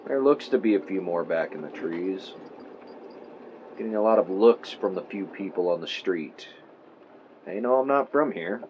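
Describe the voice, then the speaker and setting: A man's voice: low voice, expressive, moderate pace. An actor playing a male true-crime podcaster, telling a spooky story theatrically with wry asides into a clip-on mic. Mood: casual, wry. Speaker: podcast host character. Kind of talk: audio drama, true-crime narration recorded on tape. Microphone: mic clipped to shirt. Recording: muffled noisy tape, clip-on mic in car.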